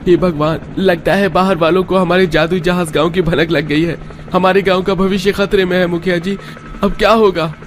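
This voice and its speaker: A man's voice: high-pitched, fairly even intonation, moderate pace, a little hiss or hum, natural voice. A man playing a brash, breezy young village farmer in a cartoon story, talking in an emphatic colloquial way. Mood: worried.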